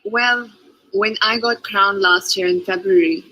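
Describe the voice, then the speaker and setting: A woman, a pageant contestant, telling her story fluently and calmly in an interview. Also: medium pitch, unhurried, poor audio. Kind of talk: interview answers.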